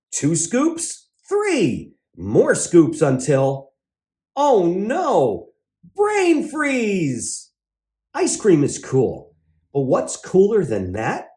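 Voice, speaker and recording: A man's voice: on the high side, darkish tone. A man hosting a children's read-aloud, exuberant and exclamatory, drawing words out for emphasis.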